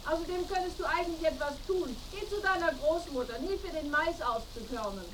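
A woman's voice: sits high, a little fast, a bit bright. An actress playing a nagging mother who bosses her son around in a radio play. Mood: scolding. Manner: scolding, bossy. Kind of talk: German radio play acting. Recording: roomy, distant, clear sizzling noise.

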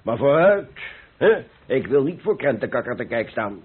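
Husky, boisterous old-time Dutch painter. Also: male voice, medium pitch, big pitch swings, normal speed. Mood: jovial haggling. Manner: jovial haggling, theatrical exclamations and chuckles. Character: boisterous, proud, debt-ridden Dutch Golden Age painter. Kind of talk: Dutch radio play acting. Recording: dull, lo-fi old radio recording.

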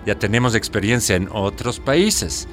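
Deep-voiced, smug foreign mining boss. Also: male voice, animated, moderate pace, fairly bright tone, soft background music. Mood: scheming, confident. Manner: self-assured, patronizing. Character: smug, greedy male foreign mining company manager. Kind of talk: radio drama acting.

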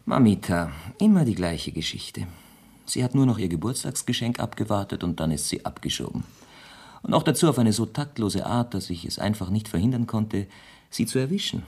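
Husky, wry gentleman bachelor. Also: fairly low pitch, expressive, a little fast. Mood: aggrieved. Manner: theatrically put-upon, wryly teasing. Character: worldly, wry, aggrieved wealthy Parisian bachelor. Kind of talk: 1970s German radio play acting.

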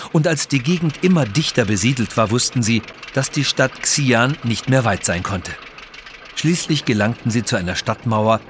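A man narrating a scripted children's audio story with measured, expressive reading. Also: mid-range voice, slight background noise.

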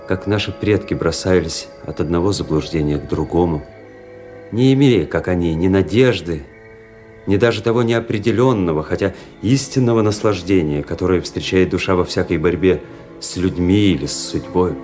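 An actor playing a weary, cynical young army officer who narrates a radio drama in a measured, introspective way. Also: male voice, deep voice, a little mellow, lightly acted. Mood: brooding, weary. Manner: introspective, world-weary, measured literary delivery. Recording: music under the voice.

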